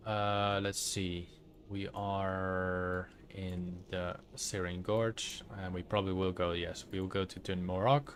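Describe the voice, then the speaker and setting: Dutch guy streaming a game, deep voice, lots of uh's, bit of hiss. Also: flat delivery. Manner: halting, many fillers, searches for words. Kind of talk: casual gameplay commentary.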